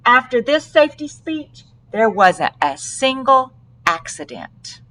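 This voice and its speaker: A woman narrating a children's storybook aloud with deliberate, dramatic phrasing. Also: sits high, sing-song, fairly bright tone, low-quality recording. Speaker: storybook reader.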